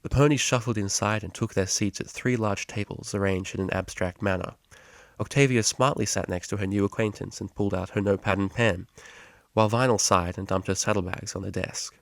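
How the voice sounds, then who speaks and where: A man's voice: fairly low pitch, steady tone, fairly quick, creaky, dry recording. A man reading a story aloud as scripted audiobook narration. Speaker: amateur audiobook reader.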